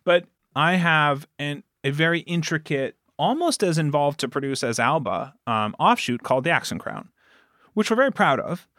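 A man's voice: on the high side, expressive, moderate pace, fairly bright tone, dry recording. Talkative man chatting in a dry recording. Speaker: podcast producer and guest. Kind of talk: casual interview chat.

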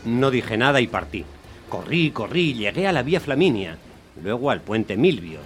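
An actor playing a hot-tempered, grumbling taxi driver who tells his story in a radio play, animated and exasperated. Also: mid-range voice, normal speed, over music, natural voice. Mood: grumbling narration. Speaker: narrator and lead character. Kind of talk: dramatised first-person radio storytelling.

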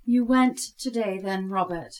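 An actress playing a tender, bereaved mother and devoted wife, halting and soothing, in a radio play. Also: medium pitch, natural voice.

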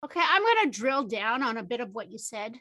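A woman's voice: a little high, sing-song, a little fast, bright, forceful tone, dry recording. A woman moderating a panel discussion, chatty, with many fillers and tag questions.

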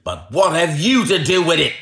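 A man's voice: fairly high pitch, big pitch swings, moderate pace, roomy sound, pitched above the performer's own voice. A man voicing a surly, furious market salesman who blusters and threatens in a storybook reading. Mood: angry, challenging. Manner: blustering, shouted outbursts.

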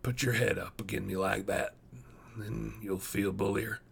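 A man voicing a gaunt, dying frontier man, weary and gentle, with low, creaky, halting, folksy speech in a storybook. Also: flat delivery, slight background noise, heavily acted.

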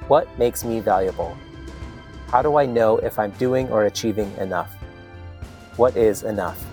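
Low, gravelly-voiced podcast host. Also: male voice, moderate pace, soft background music. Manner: earnest, warm praise of his guest. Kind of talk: podcast hosting and scripted intro.

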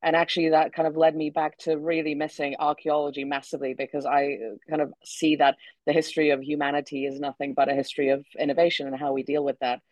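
Czech woman, british accent, chatty and quick with lots of fillers, steady tone, dry close sound. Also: low-pitched, no echo, low-quality recording. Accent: British English. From Czech Republic. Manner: quick, chatty, with many "kind of" and "like" fillers and frequent laughs. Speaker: guest, researcher. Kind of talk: casual interview chat. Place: remote call from home.

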